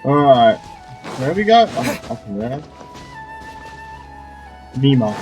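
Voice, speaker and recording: Male gamer, casual playthrough commentary, lively mid-pitched voice, game music behind. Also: takes it slowly, poor audio. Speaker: gaming video host.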